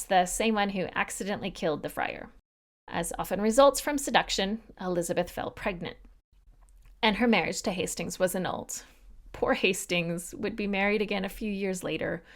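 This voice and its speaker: A woman's voice: medium pitch, normal speed. A woman hosting a podcast, reading a scripted history narration with wry, joking asides to the listener. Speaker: podcast host.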